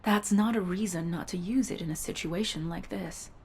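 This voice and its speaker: A woman's voice: on the low side, normal speed, darkish tone, roomy sound, recorded from a distance, slight background noise, natural voice. A woman voicing a cold, mission-driven cyborg infiltration agent in a video game, with a clipped, businesslike delivery. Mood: stern.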